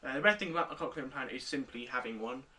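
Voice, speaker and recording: An eighteen-year-old man, calmly answering interview questions with pauses. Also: mid-range voice, distant mic. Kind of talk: recorded video interview answers.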